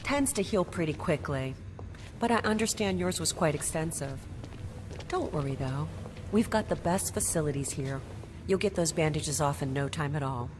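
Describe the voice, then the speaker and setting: A woman's voice: deep voice, expressive, normal speed, noisy background. An actress playing a friendly, chatty hospital staff woman in a video game scene. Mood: reassuring. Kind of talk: video game cutscene acting.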